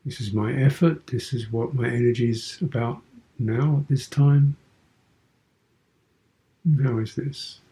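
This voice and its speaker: A man guiding a meditation as a teacher, speaking contemplatively in short, sparse phrases with pauses and gentle questions. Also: medium pitch, poor audio. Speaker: meditation teacher, Buddhist monk. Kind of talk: guided meditation.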